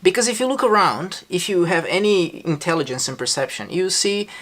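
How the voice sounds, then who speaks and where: A man's voice: high-pitched, expressive, a little fast, fairly bright tone. A man gives casual vlog commentary, reacting to a clip in an exasperated, sarcastic, incredulous way. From Brazil. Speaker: reaction vlogger.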